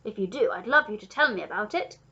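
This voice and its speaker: A woman voicing a grand, exuberant, generous male Duke in a storybook, with loud, emphatic, aristocratic delivery. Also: medium pitch, lively intonation, fast, husky, highs cut off, full character voice. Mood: kindly.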